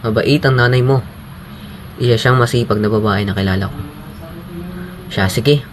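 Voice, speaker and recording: A man plays a kindly, respectful neighbour in a radio drama. His voice sits at a medium pitch, over faint noise and ambience. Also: moderate pace, close to an everyday voice. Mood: kindly, solemn. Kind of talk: amateur radio drama dialogue.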